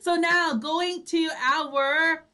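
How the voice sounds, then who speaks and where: A woman's voice: very high voice, steady tone, very slow, pushed and bright. A woman co-hosting a casual radio show, bubbly and giggly with frequent exclamations. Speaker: online radio show co-host. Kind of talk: casual bantering live show chat.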